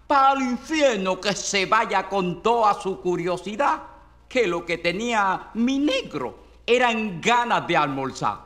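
A man's voice: high-pitched, animated, a bit bright, heavily acted. A man performing a dialect recitation as a doting mother soothing her little boy, tender and crooning, with a Caribbean Spanish accent. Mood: defiant, contented. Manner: tender, crooning, drawn-out baby talk. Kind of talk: theatrical dialect poetry recitation.

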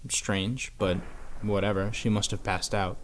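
A man's voice: on the low side, level tone, unhurried, a bit bright, a little hiss or hum. A man telling a horror story in conversational scripted narration. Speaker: narrator of a horror series explainer video.

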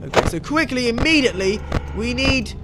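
Young man, extremely high voice, excitable game commentator, music behind. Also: brisk. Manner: excitable, exclaiming, repeats words when panicked. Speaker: gaming video host. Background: game music and sounds.

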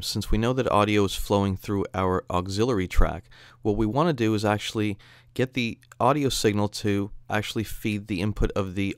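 A man narrating a software tutorial as an instructor, explaining in a conversational way. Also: fairly low pitch, dry recording, clean studio sound. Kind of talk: tutorial narration over screen demo.

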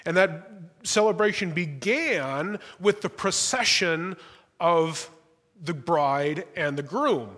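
A young male pastor gives a sermon from the front of a large church sanctuary, with a fairly high voice. He speaks in a conversational way, adds light humor and often restarts small phrases. The room carries a long, ringing church reverb. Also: lively intonation, slow, a bit bright.

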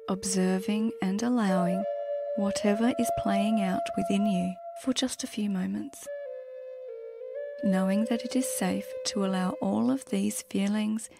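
A woman leading a guided meditation in a slow, gentle, soothing manner with long pauses. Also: mid-range voice, fairly even intonation, gravelly, over music.

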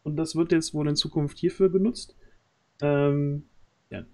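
A man's voice: a little high, fairly even intonation, a little slow. A man, around his thirties, hosting a livestream in a casual, hesitant way, searching for words, into a close desk microphone. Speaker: stream host. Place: indoors, at home on a group video call. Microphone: close desk microphone on boom arm.

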